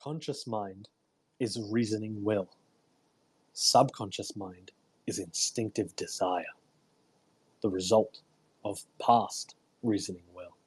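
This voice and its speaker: A man with a husky voice hosting a read-aloud, delivering a book in an emphatic, deliberate way with informal commentary. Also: lowish voice, fairly even intonation, darkish tone, poor audio. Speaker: host reading a self-help book aloud. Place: live online audio room broadcast.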